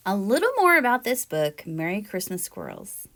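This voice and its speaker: A woman reading a children's picture book aloud in a deliberate, expressive storytelling manner. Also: mid-range voice. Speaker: read-aloud storyteller, teacher-like presenter.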